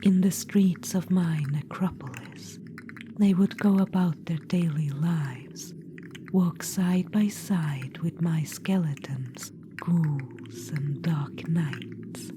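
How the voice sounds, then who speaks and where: A woman voicing a vain undead witch-queen necromancer in an ASMR roleplay, whispering softly and calling the listener darling. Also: low-pitched, slow. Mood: smug whisper. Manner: soft intimate whisper, calls listener darling; smug, boastful, self-satisfied. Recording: whisper with steady background noise.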